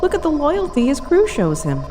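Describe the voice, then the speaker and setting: A woman's voice: sits high, wildly swooping pitch, normal speed. A woman playing a cool, analytical senior starship officer in a sci-fi audio drama, dry and precise in manner. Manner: dry, precise, matter-of-fact.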